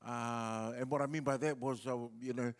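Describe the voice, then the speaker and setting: A man's voice: medium pitch, fairly even intonation, moderate pace, big-room sound. A middle-aged New Zealand man whose first language is Samoan, telling a rambling stand-up story full of fillers. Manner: laid-back and rambling, with many fillers. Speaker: comedian.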